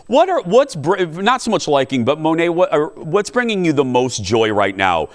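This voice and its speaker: A man's voice: fairly high pitch, big pitch swings, moderate pace. A man in his fifties hosting a talk show, asking questions with enthusiasm, frequent restarts and playful teasing. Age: 50s. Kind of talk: casual chat.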